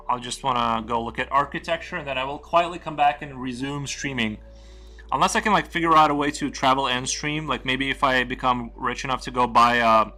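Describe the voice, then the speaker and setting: A man streaming while he draws, chatting casually in a rambling, relaxed way with lots of fillers. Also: mid-range voice. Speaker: streamer and artist. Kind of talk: casual live-stream chat while drawing.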